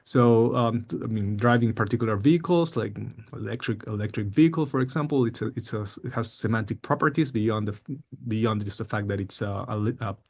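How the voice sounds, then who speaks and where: Hesitant gravelly-voiced man from Peru. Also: lowish voice, brisk. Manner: hesitant, many fillers and repeated words. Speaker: researcher presenting a project. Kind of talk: academic presentation talk.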